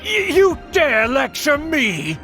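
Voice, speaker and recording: A haughty middle-aged aristocratic uncle for a video game. His voice is high and animated, taking its time over formal, indignant phrases. Faint music plays behind him. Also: slow, exaggerated voice. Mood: outraged. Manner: pompous, theatrical, formal diction. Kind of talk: video game character acting.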